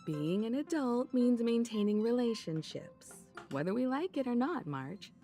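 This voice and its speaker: A woman voicing a poised, mature, gently wry leader in a video game. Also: fairly high pitch, very animated, measured pace, soft background music. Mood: composed, authoritative.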